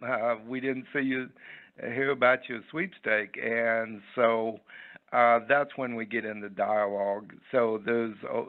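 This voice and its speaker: Gravelly man on a tinny phone line. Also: fairly low pitch. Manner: halting, rambling, folksy. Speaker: interview guest, website owner. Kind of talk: unscripted interview answers.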